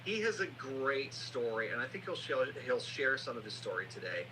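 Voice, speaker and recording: Male podcast host over clearly noisy audio. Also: mid-range voice, big pitch swings, moderate pace. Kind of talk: conversational podcast introduction. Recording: noisy, humming live stream audio.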